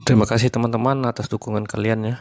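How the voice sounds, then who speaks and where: Cartoon monkey doing standup, guy voicing him, kinda low voice, super flat and deadpan, dry close sound. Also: very fast, dry recording, lightly acted. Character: male monkey stand-up comic running for mayor. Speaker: comedian. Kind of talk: stand-up comedy routine.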